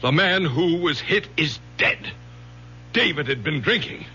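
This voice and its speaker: A hissy, muffled old radio recording of a domineering middle-aged father. His voice is sharp and forceful, swinging widely in pitch as he bullies and presses with desperate urgency, in 1970s radio drama style. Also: expressive, unhurried, breathy edge, full character voice. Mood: angry, threatening.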